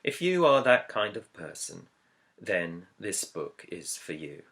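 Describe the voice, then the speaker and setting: A man, an author, reading his own book introduction as scripted narration in a measured, earnest, reflective way. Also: low-pitched, sing-song, creaky, a bit bright. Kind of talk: scripted audiobook-style narration.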